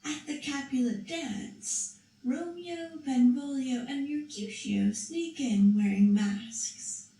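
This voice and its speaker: Woman reading a puppet show story, kinda high voice, slow, echoey room. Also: warm tone, distant mic. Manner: slow, careful, with restarts. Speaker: narrator of a school puppet show. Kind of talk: storytelling narration.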